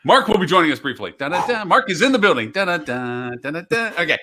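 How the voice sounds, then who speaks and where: A man's voice: a little high, sing-song, bright, forceful tone. A man hosting a live online marketing show, chatty and playful, introducing guests over a video call. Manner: playful, chatty, fills pauses by humming. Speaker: show host.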